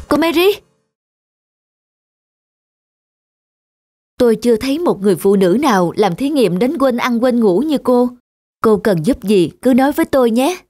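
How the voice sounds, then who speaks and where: Proud young scientist. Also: male voice, very high-pitched, darkish tone, dry recording. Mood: curious, polite. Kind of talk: animated drama dubbing. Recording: music under the voice.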